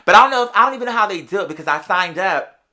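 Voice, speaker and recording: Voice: medium pitch, animated, racing, bright, forceful tone. A person in their late twenties with an American accent, telling gossipy stories in a casual, sassy, slang-heavy way. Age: late 20s. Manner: conversational and sassy, heavy slang, often interjecting "girl".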